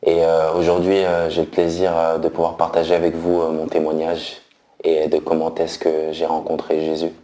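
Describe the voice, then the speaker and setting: A man in his thirties from France, giving a slow, hesitant personal faith testimony with many pauses and fillers. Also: rumbling low, completely flat delivery, mellow, reverberant. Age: 30s.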